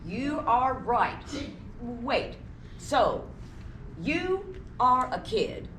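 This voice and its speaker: A woman reading a confused, skeptical character in an amateur comedy play, halting from word to word. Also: a little high, sing-song, fairly bright tone, full character voice. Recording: noisy echoey room, far from mic.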